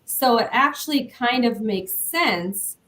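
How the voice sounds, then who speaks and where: A young woman from Iowa, a guest in a casual interview, friendly and quick to laugh. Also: fairly high pitch, expressive, a bit bright.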